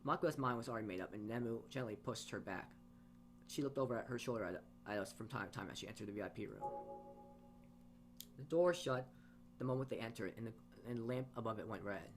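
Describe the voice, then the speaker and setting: A man's voice: medium pitch, fast, soft background music. A man reading a game story aloud as he plays, narrating with side comments and often stumbling and repeating phrases. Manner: stumbles over words and rereads phrases. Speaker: let's player reading a visual novel aloud.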